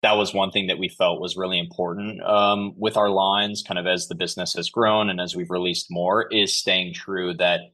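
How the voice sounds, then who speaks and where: A man's voice: sits low, flat delivery, moderate pace, hardly any pauses, bright, forceful tone. A man answering interview questions in a conversational, explanatory way, often saying "you know". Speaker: podcast guest, company chief executive.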